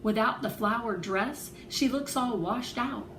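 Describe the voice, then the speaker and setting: A woman reading a children's novel aloud as an expressive, animated narrator. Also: on the high side, unhurried. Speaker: read-aloud host. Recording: echoey room with clear background noise.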